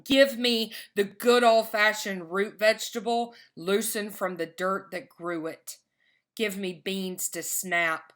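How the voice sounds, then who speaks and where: Bright-voiced woman reading poetry slowly. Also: medium pitch, steady tone. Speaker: poet.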